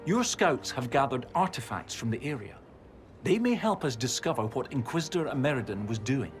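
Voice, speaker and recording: A man's voice: mid-range voice, sing-song, a little fast, soft background music, natural voice. An actor playing an eager, fussy young male research professor in a video game, explaining things with precise enthusiasm. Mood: eager, scholarly. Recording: game audio over noisy outdoor ambience.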